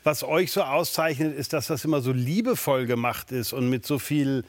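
A man in his fifties, a guest actor, joking and chatting in a measured way. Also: mid-range voice, no echo. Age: 50s. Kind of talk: casual interview chat.

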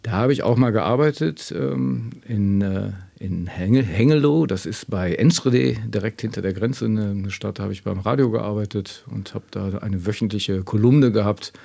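A man's voice: fairly low pitch, normal speed, vocal fry, hardly any pauses, mellow. A man from Germany's Münsterland, chatting and reminiscing as an interview guest in a casual, self-deprecating way. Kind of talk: casual storytelling.

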